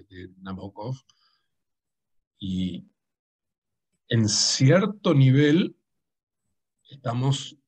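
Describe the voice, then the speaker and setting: A man's voice: medium pitch, a little mellow, husky. A man whose first language is Dutch, speaking hesitantly on a video call with frequent restarts. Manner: hesitant, many restarts and fillers. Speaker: guest translator. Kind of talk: conversational discussion.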